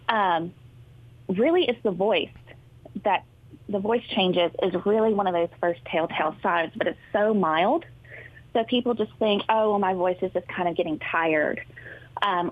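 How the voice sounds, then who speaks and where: A woman's voice: mid-range voice, no echo. A woman explains things patiently and informatively, like a therapist answering interview questions. Her voice comes through a thin, phone-like line. The sound is dry and close. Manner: friendly, informative, steady explaining. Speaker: speech therapist guest. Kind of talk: explaining in a talk show interview.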